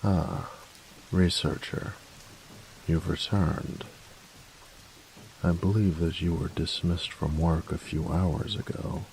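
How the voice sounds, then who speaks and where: A man playing a grumpy, workaholic artificer in an audio roleplay, tired and reserved, speaking formally and precisely with hesitant pauses in a gravelly voice. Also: flat delivery, darkish tone, slight background noise, heavily acted, low-quality recording. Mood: stiff, formal. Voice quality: gravelly, very low voice. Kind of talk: audio roleplay addressed to the listener.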